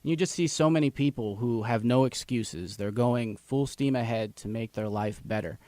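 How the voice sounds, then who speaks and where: A man's voice: mid-range voice, normal speed, dark tone, dry recording. An American man speaking as an interview guest, earnest and upbeat in a conversational way. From United States, Erie. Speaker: interview guest, English teacher.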